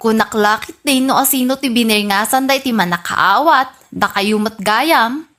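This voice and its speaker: Woman playing a high-voiced village gossip. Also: moderate pace, fairly bright tone, lightly acted. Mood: reproachful. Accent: Ilocano. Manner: chiding. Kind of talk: comedy radio drama acting.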